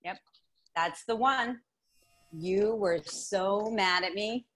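An actress playing a candid, encouraging, supportive longtime best friend in radio drama dialogue. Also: female voice, mid-range voice, slow pace, fairly bright tone. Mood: confessional.

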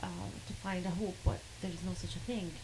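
Arabic-accented woman telling her story, halting, lots of ums, noisy background. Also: on the low side, fairly even intonation. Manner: halting, many fillers, laughs between sentences. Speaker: guest sharing a testimony. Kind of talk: personal storytelling.

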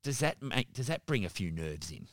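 A man's voice: fairly low pitch, sing-song, normal speed, a bit bright, dry recording, clean studio sound. A man hosting a conversational interview in a wordy, encouraging way, often repeating his phrases. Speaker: interview host.

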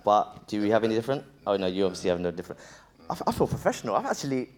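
A man's voice: fairly low pitch, big pitch swings, racing. A man introducing guests on stage, playful and joking, tripping over names. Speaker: event host. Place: stage before a live audience.

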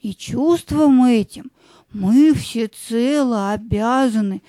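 A woman's voice: fairly high pitch, slow, mellow, dry recording. A woman playing a solemn, loyal spirit of bread in an audio play, speaking pompously and ceremoniously.

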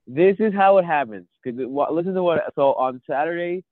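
A man with a cold, sniffling, chatting excitedly and rambling with many restarts as co-host of a podcast, calling in by phone from home. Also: a little high, a little fast, no echo. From United States. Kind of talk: casual podcast chat. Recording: thin phone-line audio.

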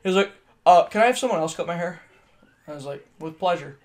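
A man's voice: sits high, animated, fast talker. A young man with a Southern accent, casually telling stories as a podcast co-host, swearing, laughing and acting out his customers. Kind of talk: casual storytelling chat.

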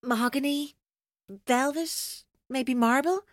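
A woman's voice: high voice, slow pace, no echo, clean studio sound. An actress playing a menacing, taunting woman captor in an audio drama, mocking and theatrical, shifting between playful and bitter. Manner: theatrical, mocking, mercurial, intense.

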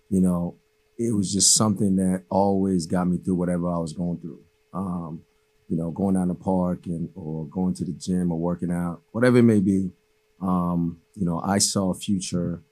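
A man's voice: low voice, mellow, slightly rough, rough recording. A man born in Haiti and raised in the Boston area, a college basketball coach speaking casually and reflectively as an interview guest. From born in Haiti, raised in the Boston area from age eight. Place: podcast studio.

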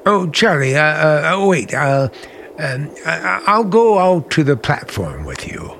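An actor playing a charming but menacing uncle in old-time radio drama, with smooth, hesitant, genial talk that masks a threat. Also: male voice, fairly high pitch, sing-song, unhurried, fairly bright tone, slight background noise, heavily acted. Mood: hesitant, affable. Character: charming, menacing uncle hiding a dark secret.

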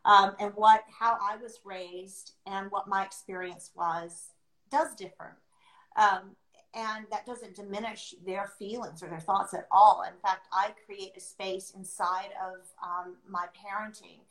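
A middle-aged woman speaking reflectively and conversationally on a livestream, earnest and deliberate, pausing as she chooses her phrases. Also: medium pitch, steady tone, a bit bright. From born outside the US, adopted into America. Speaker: podcast host sharing her experience as an adoptive mother. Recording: roomy, echoing sound.

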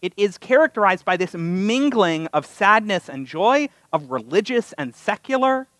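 A North American man with a high voice delivers a sermon as a personal story. His pitch swings widely, with a wry, self-deprecating touch. The sound is dry and close. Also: sing-song, normal speed, fairly bright tone, no echo. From North America (US and Canada). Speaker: rabbi giving a sermon. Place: live synagogue service.

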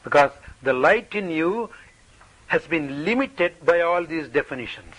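A man with a high, bright voice gives a spiritual talk in English. He speaks in short, playful phrases and often asks questions. He stops after each phrase so an interpreter can translate it. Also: animated, a little slow, rough recording. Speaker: spiritual teacher.

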